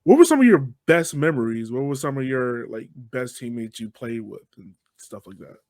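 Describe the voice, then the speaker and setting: A man with a mid-pitched voice hosts a podcast interview. He asks his questions in a loose, conversational way, and the sound is close and dry. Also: expressive, moderate pace, a little mellow, no echo.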